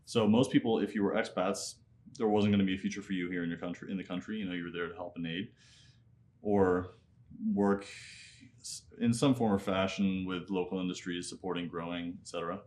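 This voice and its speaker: Low-voiced American-sounding man reflecting on his life. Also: fairly even intonation, fairly quick, a little mellow. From Nepal. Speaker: interview guest, MBA student and entrepreneur. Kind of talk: reflective conversational storytelling.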